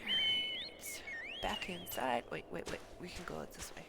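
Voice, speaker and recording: A woman's voice: lowish voice, wildly swooping pitch, measured pace, heavy background noise. A woman live streaming a game, chatting casually and murmuring to herself as she plays. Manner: chatty, murmuring to self while playing.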